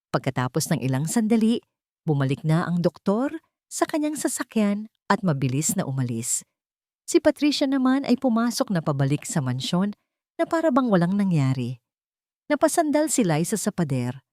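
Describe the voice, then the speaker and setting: A woman reading a dramatic romance story in a suspenseful, deliberate way. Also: fairly low pitch, darkish tone, no echo, clean studio sound. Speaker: story narrator.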